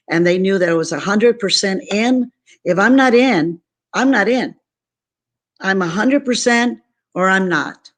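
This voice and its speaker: Woman from San Antonio with Spanish as her first language, interview guest, emphatic and earnest, mid pitch. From San Antonio, Texas. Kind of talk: motivational interview talk.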